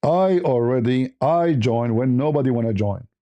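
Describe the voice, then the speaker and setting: A man's voice: mid-range voice, expressive, moderate pace, warm tone. A man with an Arabic accent giving a blunt, off-the-cuff opinion monologue as a livestream host, repeating phrases for emphasis.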